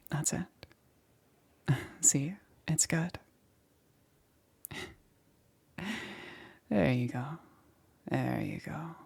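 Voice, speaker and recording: A woman playing a gentle, apologetic witch in an intimate ASMR roleplay, speaking softly and hesitantly in a breathy near-whisper. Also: very deep voice, exaggerated voice. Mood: gentle, coaxing. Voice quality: soft, breathy, often whispered. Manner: hesitant, halting, reassuring. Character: gentle, apologetic witch necromancer caring for her undead patient.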